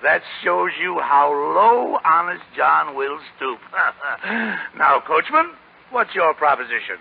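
An actor playing an oily, theatrical swindler with a raspy voice and effusive, flowery manner, in a 1930s radio drama. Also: male voice, fairly high pitch, very animated, slow pace, full character voice. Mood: gloating. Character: theatrical, oily swindler posing as a kindly friend. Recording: muffled 1930s radio recording.

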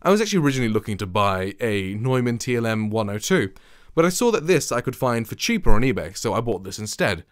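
A young man with a deep voice chats to camera, rambling and breaking off to correct himself. He sits close to a studio mic in a small foam-lined home studio. The sound is dry, with no room echo at all. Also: big pitch swings, fast, few pauses. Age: young adult. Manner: chatty, rambling, self-correcting. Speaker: vlogger comparing microphones. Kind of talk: casual talk to camera. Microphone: studio condenser and shotgun mics close up, reflection filter.

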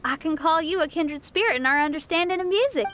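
A woman's voice: very high-pitched, fast talker, a little hiss or hum. A woman voicing an upbeat, friendly young female rock musician in a video game, speaking casually. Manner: casual, colloquial, warm enthusiasm. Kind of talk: video game character dialogue.

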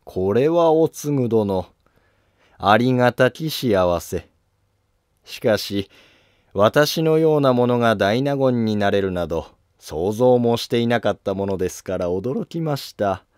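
A man playing a calculating, courteous court noble in a historical drama, polite, measured and self-assured. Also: mid-range voice, expressive, a little mellow, smooth, no room sound, studio quality, close to an everyday voice. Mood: courteous, humble.

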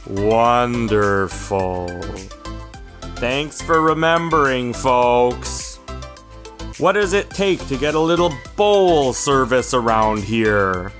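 A man voicing a grumpy, dramatic, easily spooked house cat for a children's storybook read-aloud. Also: medium pitch. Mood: grumpy, deadpan. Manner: deadpan grumbling with melodramatic outbursts.

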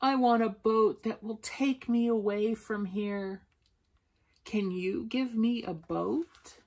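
A woman's voice: fairly high pitch, slow, darkish tone. A woman voicing a needy boy who grows into a tired, sad man in a children's storybook, plaintive and wanting. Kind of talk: children's storybook character reading.

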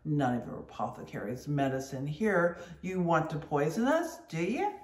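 A reader voicing a boisterous, mocking whaling mate who rants loudly in indignation. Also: medium pitch, lively intonation, unhurried, reverberant, lightly acted. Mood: indignant. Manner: loud, mocking, indignant outbursts. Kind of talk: audiobook character reading.

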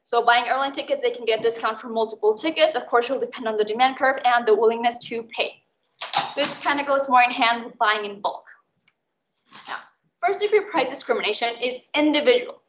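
A young woman from Mexico tutors students in a chatty, informal way, talking very fast in a fairly high voice. The recording is muffled and roomy, like an online call. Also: a bit bright, a little hiss or hum. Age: young adult. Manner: chatty, explains with everyday examples. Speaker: student tutor leading an exam recap. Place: indoors, online video call.

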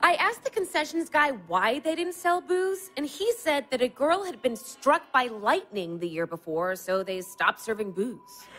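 Radio play: young American woman, wistful, candid, playful; high, very lively pitch. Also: unhurried, few pauses, faint music behind, heavily acted. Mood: animated storytelling. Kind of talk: naturalistic audio play acting.

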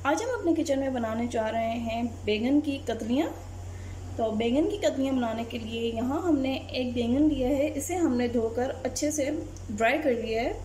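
High-voiced woman host of a recipe video. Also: moderate pace, slight background noise. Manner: calm step-by-step instructions. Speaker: cooking channel host. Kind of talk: recipe voice-over narration.

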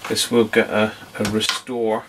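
A middle-aged man giving an unhurried, muttered, casual commentary as he works at his bench. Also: lowish voice, monotone, vocal fry, noisy background. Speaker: vintage radio hobbyist presenter. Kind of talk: casual hands-on demonstration commentary. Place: small indoor electronics workbench.

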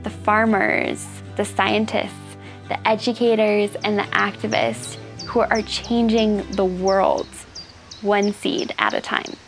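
A young woman reading scripted documentary narration in a calm, measured way. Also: medium pitch, soft background music. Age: young adult.

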